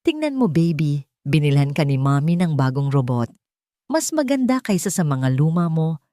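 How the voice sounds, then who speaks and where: A woman acts out a scheming rich mother from a romance audiobook. She is low-voiced for a woman, manipulative and venomous, syrupy one moment and threatening the next, recorded close and dry. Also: big pitch swings, moderate pace, dark tone, no room sound. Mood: coaxing. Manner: syrupy coaxing, hissed threats, playing victim.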